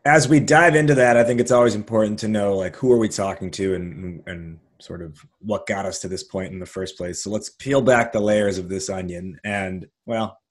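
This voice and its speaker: A man hosting an interview podcast, delivering a lively, sing-song scripted intro before chatting with his guest. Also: lowish voice, normal speed, hardly any pauses. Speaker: podcast host.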